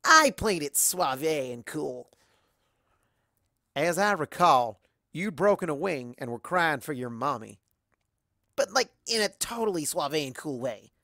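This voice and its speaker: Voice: medium pitch, lively intonation, a little slow, pauses often, sharp tone, no room sound, studio quality, voice pushed up. A performer voicing a brash, playful young female pony in storybook narration, with a swaggering, teasing manner. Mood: smug.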